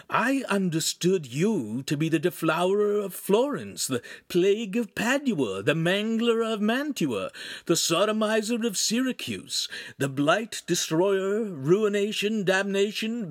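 A man's voice: high voice, a bit bright, no room sound, clean studio sound, pitched above the performer's own voice, heavily acted. A man voicing a small holographic imp in an audiobook, mocking and pompous, declaiming in a florid, grandiose way. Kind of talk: audiobook character voice.

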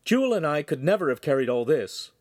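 Man as a high, polite young girl. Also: big pitch swings, fast, darkish tone, no room sound, studio quality. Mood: cheerful.

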